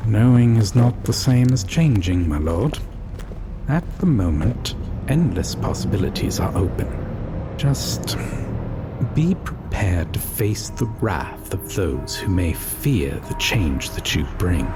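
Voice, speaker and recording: A man's voice: low voice, very animated, takes it slowly, exaggerated voice. A man playing an enigmatic, deferential seer in an audio drama, speaking formally and cryptically. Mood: cryptic, ominous. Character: enigmatic, deferential male seer who knows the future. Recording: clear background music under the voice.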